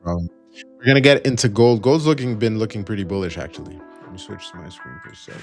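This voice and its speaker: Low-voiced man on a trading livestream. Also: lively intonation, quick pace, soft background music. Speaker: live trading stream co-host. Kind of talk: casual live market commentary.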